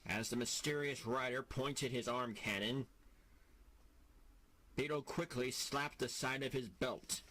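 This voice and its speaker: A man narrating an audio drama from a script in a deliberate, dramatic storytelling manner. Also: medium pitch, bright, forceful tone, a little hiss or hum.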